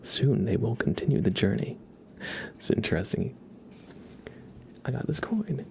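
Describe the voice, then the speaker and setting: A man hosting an ASMR livestream, speaking gently and unhurriedly in a breathy whisper with some gravel in it. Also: medium pitch, expressive, slight background noise. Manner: soft-spoken, gentle, unhurried. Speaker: ASMR live streamer and host.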